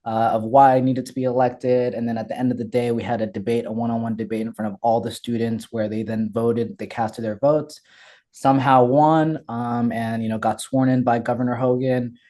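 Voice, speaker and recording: Brief: young man from Ethiopia, interview guest, relaxed dark tone, energetic and candid. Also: medium pitch, moderate pace. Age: young adult. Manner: candid, energetic, frequent fillers and restarts. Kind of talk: casual interview storytelling.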